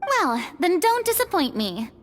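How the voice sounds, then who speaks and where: An actress playing a flamboyant, self-assured young diva in a video game, speaking grandly and dramatically. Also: female voice, very high-pitched, big pitch swings, a little slow, heavily acted. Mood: teasing, challenging. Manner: grandiose, dramatic delivery with airy laughs.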